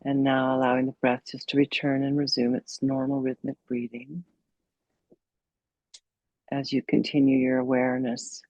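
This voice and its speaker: A woman meditation coach leading a guided meditation in a soothing, unhurried, gently instructive manner with long pauses. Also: extremely low pitch, gravelly, no room sound, lo-fi sound.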